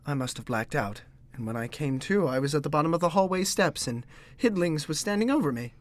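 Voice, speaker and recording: An actor playing an impertinent, bumbling young gentleman, narrating a gothic parody audio drama in formal, wordy style. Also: fairly high pitch, lively intonation, fairly quick, background noise, close to an everyday voice. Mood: earnest storytelling.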